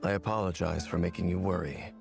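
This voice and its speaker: An actor playing a guarded, reserved man in a video game, speaking in a formal, solemn, measured manner. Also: low voice, fairly even intonation, creaky, a little mellow, husky, faint music behind, heavily acted. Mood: solemn, apologetic. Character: guarded, reserved man weighing joining a fight. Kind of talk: video game drama acting.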